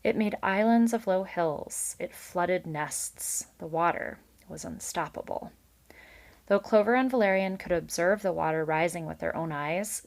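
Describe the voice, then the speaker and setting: Woman reading a children's story aloud. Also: fairly low pitch. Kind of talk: expressive read-aloud narration with brief recap.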